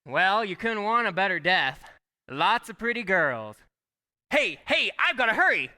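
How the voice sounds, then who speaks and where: An actor playing a brash, fun-loving young kid brother in an old-time radio play, loud, chirpy and hurried. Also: male voice, high-pitched, big pitch swings, heavily acted. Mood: breezy, hurried. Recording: live stage taping of radio play.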